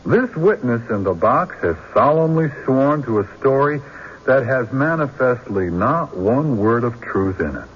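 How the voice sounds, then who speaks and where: An actor playing a shrewd country defense lawyer in a radio drama, with a husky voice and deliberate, theatrical courtroom rhetoric. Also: male voice, mid-range voice. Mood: indignant. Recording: dull lo-fi old radio recording.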